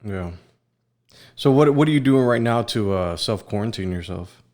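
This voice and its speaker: A man's voice: lowish voice, expressive, moderate pace, a little mellow. A man hosting a podcast, interviewing a guest in a casual, conversational style.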